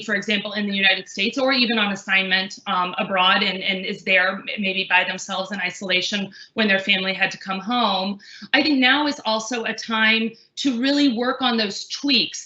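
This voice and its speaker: A woman's voice: mid-range voice, pushed and bright, roomy sound, muffled recording. A woman who works as a language trainer, speaking earnestly in a webinar panel discussion, with many fillers and restarts.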